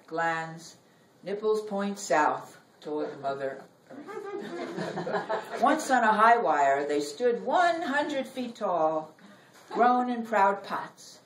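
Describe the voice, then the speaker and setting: A middle-aged woman giving a live poetry reading in measured, deliberate phrases with dramatic pauses. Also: mid-range voice. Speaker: poet reading own work. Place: library reading room. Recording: roomy, noisy recording, distant from mic.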